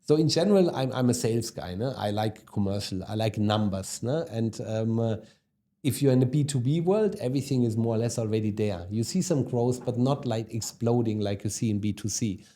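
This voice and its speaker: German-accented man, mellow conversational voice. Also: mid-range voice, a little fast. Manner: conversational, frequent ums and tag questions. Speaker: guest, e-commerce executive. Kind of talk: podcast interview.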